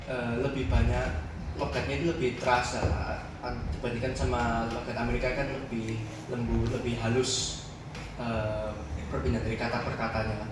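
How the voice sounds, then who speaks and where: A man's voice: mid-range voice, little pitch movement, moderate pace. A teenage boy answering podcast questions in a casual, hesitant way, with frequent restarts and short laughs. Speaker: podcast guest. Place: school room. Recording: echoey room, distant mic, noisy.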